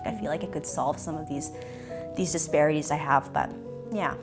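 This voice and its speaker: A young woman from Canada with a Canadian accent, speaking reflectively in an interview, thoughtful and hesitant, often pausing and restarting. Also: low-pitched, steady tone, music in the background. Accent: Canadian English.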